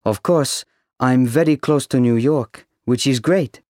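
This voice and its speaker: An actor reading a scripted listening exercise as a young man working in a cafe, in a clear, steady reading. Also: medium pitch, unhurried, no room sound, clean studio sound, lightly acted. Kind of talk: scripted textbook listening monologue.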